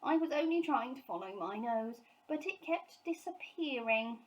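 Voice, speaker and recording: An earnest young boy bear from a children's storybook, played by a woman. He speaks high and with lively swings, politely puzzled and quick to bristle when challenged. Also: low-quality recording. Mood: gasping, bewildered.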